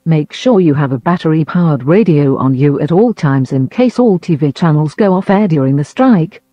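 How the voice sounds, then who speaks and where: A man reading a scripted emergency broadcast announcement in a formal, measured manner. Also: fairly high pitch, mellow, dry recording. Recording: dull lo-fi audio.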